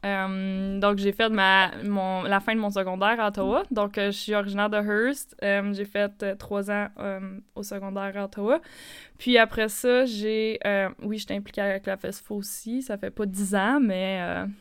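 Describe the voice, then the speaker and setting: A woman's voice: mid-range voice, flat delivery, normal speed, clean tone, no room sound, clean studio sound. A woman in her twenties from northern Ontario with a Franco-Ontarian French accent, co-hosting a podcast in a playful, giggly way. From northern Ontario, Canada. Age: 20s. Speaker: podcast co-host, marketing manager. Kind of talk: casual podcast chat.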